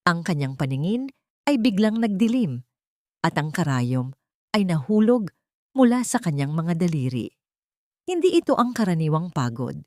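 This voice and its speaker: A Filipino woman tells a scripted dramatic tale. Her voice sits on the low side. The recording is dry, with very little room sound. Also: sing-song, moderate pace, long pauses, warm tone, no echo. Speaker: story narrator. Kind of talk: dramatic scripted storytelling narration.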